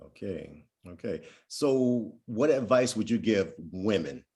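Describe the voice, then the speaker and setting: A man's voice: lowish voice, lively intonation, slow, a little mellow. Man hosting a casual interview. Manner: encouraging, short affirmations. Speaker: interview host.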